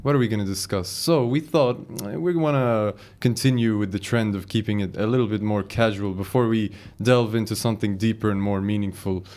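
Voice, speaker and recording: A man's voice: on the low side, fairly quick, hardly any pauses, a little mellow. Upbeat young male podcast host. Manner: upbeat, joking with guests. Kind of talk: casual podcast chat. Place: small podcast recording room. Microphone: studio microphone.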